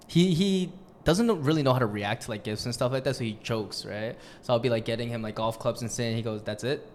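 A man's voice: medium pitch, a little fast. A young man from New York hosting a podcast, chatting casually and joking with friends, swearing now and then. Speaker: podcast host. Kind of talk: casual chat.

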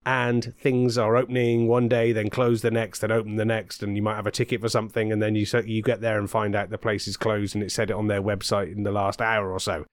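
A man's voice: fairly low pitch, flat delivery, fairly quick, few pauses, no echo. A man hosting a podcast, talking in a chatty, rambling way with some fillers. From Britain. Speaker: podcast host and author. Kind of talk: casual podcast chat.

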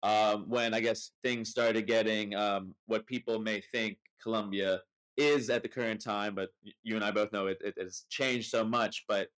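A man's voice: lowish voice, steady tone, moderate pace, a bit bright, low-quality recording. A young man with an American accent hosting a podcast in an informal, rambling, conversational way. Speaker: podcast host. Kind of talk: casual chat.

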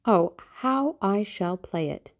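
A woman's voice: medium pitch, animated, slow. A woman voicing a dreamy, impulsive teenage girl in love, theatrical and exclamatory, in an audiobook. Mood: rapturous. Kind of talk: audiobook character acting.

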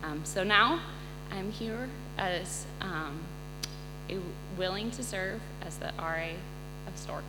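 A woman missionary giving an earnest personal testimony to an audience, halting often with pauses. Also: medium pitch. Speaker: missionary and incoming resident assistant. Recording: echoey hall, loud electrical hum.

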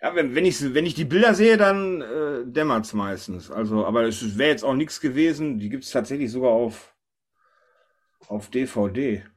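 A man's voice: medium pitch, expressive, moderate pace, slightly rough. A man hosting a casual panel chat, thinking aloud and often tossing questions to the others. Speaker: podcast host.

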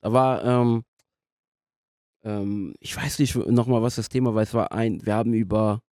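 A young man speaking casually as a podcast guest, in a halting way as he searches for words. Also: lowish voice, steady tone, a little mellow, no room sound, studio quality. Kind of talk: casual interview conversation.

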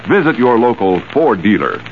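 A man announces a vintage radio commercial in polished, emphatic style. His mid-pitched voice swings very widely in intonation. The recording is dull with faint noise. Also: big pitch swings, normal speed. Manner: emphatic, polished sales delivery. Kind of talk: 1950s radio advertising read.

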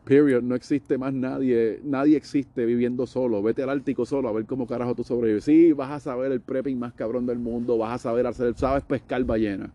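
Puerto rican guy, soft mellow tone, arguing his point casually, drops english words and curses. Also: medium pitch, steady tone, brisk, few pauses. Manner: emphatic, peppers Spanish with English words and swearing. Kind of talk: casual conversational debate.

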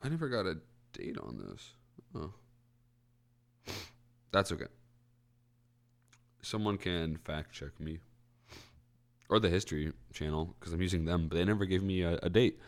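A man's voice: deep voice, expressive, unhurried, pauses often. A young man with a stuffy, congested voice hosting a college radio show, chatting casually and correcting himself as he rambles. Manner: rambling, self-correcting, clears throat. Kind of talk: casual solo podcast chat. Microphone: studio microphone.

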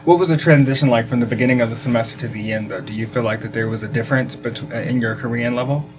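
A man's voice: medium pitch, quick pace. A man hosting an interview, asking follow-up questions and encouraging his guest with engaged remarks. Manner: engaged, frequent backchannel yeahs. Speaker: podcast host.

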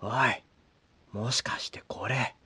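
A man playing a blunt, rough-spoken middle-aged adventurer in a comedic audio drama. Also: lowish voice, slow, pushed and bright, dry recording, in a whisper, heavily acted. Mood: hushed dismay.

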